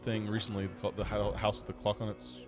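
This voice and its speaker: Man, rapid casual speech, slightly low-key rambling co-host, thinking aloud, faint music behind. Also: completely flat delivery. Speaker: podcast co-host. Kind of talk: casual chat.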